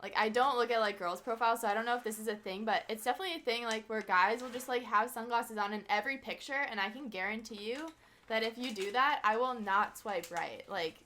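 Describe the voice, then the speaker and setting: A young woman in her twenties, chatting as a podcast guest in a loose, animated way, saying "like" a lot. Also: on the high side, fast. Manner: animated, heavy use of "like". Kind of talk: casual chat.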